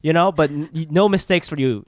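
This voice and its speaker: A man's voice: on the high side, a little fast, dry recording, clean studio sound. A man co-hosting a podcast in an informal, joking way, in casual chat and chatty host-read spots.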